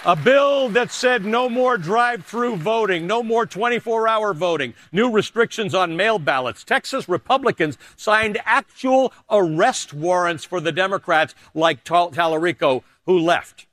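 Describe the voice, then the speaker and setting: A middle-aged man from Canada, a news anchor delivering emphatic political commentary and a personal essay, dropping low for personal asides. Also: a little slow, few pauses, a bit bright, no echo.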